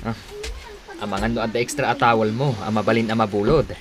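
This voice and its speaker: An actor with an Ilocano accent playing a friendly young man, a cousin and smooth suitor, in a radio drama. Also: fairly low pitch, fairly even intonation, fast, crowd noise, close to an everyday voice. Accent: Ilocano, northern Philippines.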